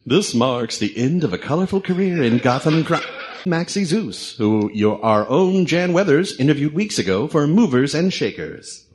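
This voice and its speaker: A man's voice: a little high, big pitch swings, normal speed, darkish tone, a little hiss or hum. Man doing a showy, lilting TV reporter. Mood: showy, formal. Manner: announcing, showy. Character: showy, formal male television news reporter. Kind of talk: newsreader parody in radio drama.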